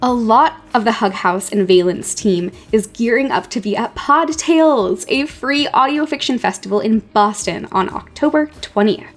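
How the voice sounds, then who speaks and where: A woman's voice: a little high, animated, moderate pace, hardly any pauses. A woman hosting a podcast, reading scripted narration with casual asides and wry, emphatic repetition. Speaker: podcast host. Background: music.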